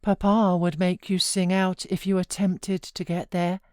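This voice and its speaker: Female reader as a spiteful sickly youth, whiny, selfish, slow, audiobook drama, dry sound. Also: lowish voice, little pitch movement, a little mellow, no echo, close to an everyday voice. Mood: petulant, spiteful. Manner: petulant, whining insistence. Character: peevish, spoiled, sickly young man, selfish and spiteful.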